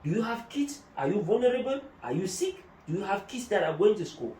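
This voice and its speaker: A man's voice: high-pitched, very animated, fairly quick, warm tone, a little hiss or hum. A man working as a migration adviser, explaining procedures step by step and using German terms. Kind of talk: explanatory talk-show discussion. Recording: echoey room, mic at a distance.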